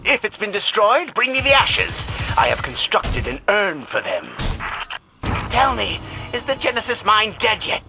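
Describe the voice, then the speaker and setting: A man's voice: high-pitched, huge pitch swings, measured pace, creaky, music in the background, heavily acted. An actor playing a cantankerous, obsessive older male alien scientist in a video game, pompous and theatrically indignant in manner. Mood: vengeful. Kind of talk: dramatic video game voice acting.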